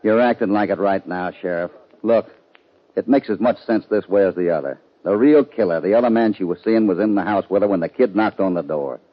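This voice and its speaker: An actor playing a steady, commanding cowboy hero in a 1950s radio western, speaking in a firm, terse, authoritative way. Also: male voice, low voice, brisk. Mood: urgent. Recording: dull, lo-fi 1950 radio recording.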